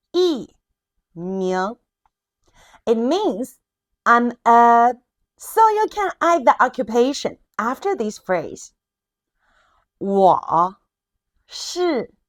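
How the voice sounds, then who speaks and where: A woman language teacher giving an instructional lesson, drilling phrases with deliberate, clearly separated syllables. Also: fairly high pitch.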